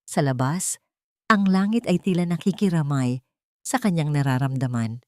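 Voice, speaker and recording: A woman's voice: sits low, moderate pace, no echo, clean studio sound. A woman with a Filipino accent reading a scripted story as a dramatic narrator.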